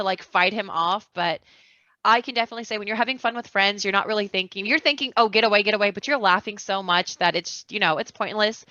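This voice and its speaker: A woman with a bright, forceful voice chats as a podcast guest. She rambles informally, and the audio is dry and somewhat dull. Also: a little high, no echo. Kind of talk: casual podcast chat.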